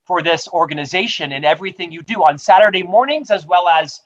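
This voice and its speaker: Brief: upbeat male author guest, high voice, dull lo-fi call audio. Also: fast talker, background noise. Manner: upbeat, promotional, says he stutters but talks fluently. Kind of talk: rapid-fire interview answers.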